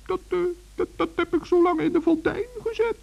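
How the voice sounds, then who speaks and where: A man playing a small, kind-hearted forest gnome in a children's radio play, hesitant and innocent in a creaky, squeaky voice. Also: brisk, a little mellow, full character voice. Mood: evasive, sheepish. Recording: dull vintage radio recording.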